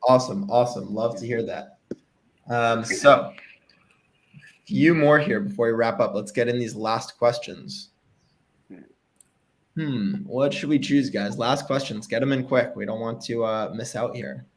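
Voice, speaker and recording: A man's voice: mid-range voice, a little hiss or hum. Upbeat young male stream host. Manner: upbeat, fillers, quick reactions. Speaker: livestream host. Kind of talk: casual interview over video call. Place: home room with framed pictures. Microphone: video call.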